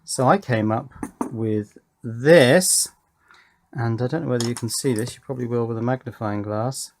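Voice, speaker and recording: A man's voice: medium pitch, normal speed, a little mellow, a little hiss or hum. Chatty man thinking aloud. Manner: chatty, self-questioning with tag questions. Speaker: host of an electronics workshop video. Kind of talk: casual hands-on demonstration, thinking aloud.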